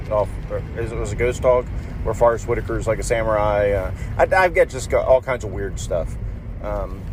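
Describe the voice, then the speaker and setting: A man leaving a casual, rambling voicemail from a car, halting and often repeating words as he goes. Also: mid-range voice. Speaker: podcast voicemail caller. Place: in a car in traffic. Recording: noisy voicemail from inside a car. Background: car and traffic noise.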